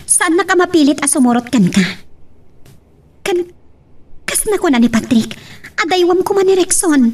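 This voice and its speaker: Woman acting a very high-voiced, emotional young woman. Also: lively intonation, moderate pace, a little mellow, slight background noise. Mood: tense. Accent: Ilocano. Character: emotional young woman in a love-triangle drama. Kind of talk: Ilocano audio melodrama acting.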